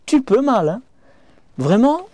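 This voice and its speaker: A man's voice: very high voice, very animated, takes it slowly, darkish tone, dry recording. Chatty middle-aged man touring a camper van. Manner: chatty, addresses viewer directly, frequent hop-hop and hein. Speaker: motorhome reviewer. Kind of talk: casual walkthrough vlog. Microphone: small clip-on mic.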